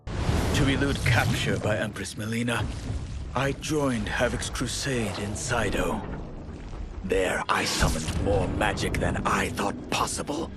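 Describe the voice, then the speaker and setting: An actor playing a remorseful fallen high mage, narrating a fantasy game cutscene in a solemn, formal, deliberate manner. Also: male voice, fairly even intonation, over loud music, lightly acted. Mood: grave recounting. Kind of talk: dramatic scripted cutscene narration.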